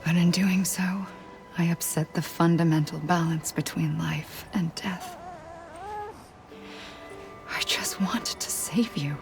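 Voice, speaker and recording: An actress playing a sorrowful, resigned woman fated to doom the world, speaking in a hushed, breathy, solemn way in a dramatic game cutscene. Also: lowish voice, takes it slowly, pauses often. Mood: regretful, tender. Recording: music under the voice.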